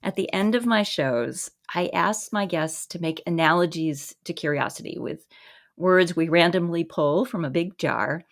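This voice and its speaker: A woman's voice: on the low side, normal speed, vocal fry. A woman hosting a studio interview show, asking thoughtful, musing questions. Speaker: podcast host. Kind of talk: interview conversation. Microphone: studio microphone.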